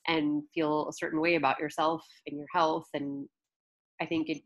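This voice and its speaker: A woman panel guest speaking in a measured, analytical way. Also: deep voice, flat delivery, lo-fi sound. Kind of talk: casual panel chat.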